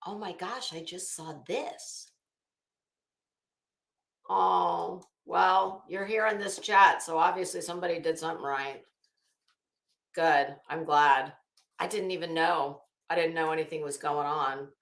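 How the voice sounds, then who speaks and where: A woman hosts a casual livestream in a bright, forward voice. She takes her time as she talks, chatty and blunt with her viewers. The room around her adds a clear echo. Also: low-pitched, steady tone, unhurried, long pauses. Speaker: livestream host. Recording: echoey room.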